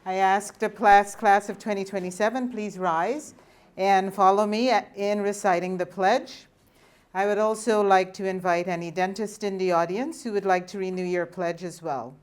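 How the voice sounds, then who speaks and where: A woman giving a formal ceremony address and leading a group pledge in a measured, deliberate way. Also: mid-range voice, fairly even intonation, clean tone.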